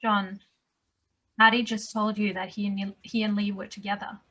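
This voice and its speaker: A woman's voice: mid-range voice, level tone, moderate pace, pushed and bright, poor audio. A woman playing a tough, no-nonsense nurse in a table read. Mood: matter-of-fact.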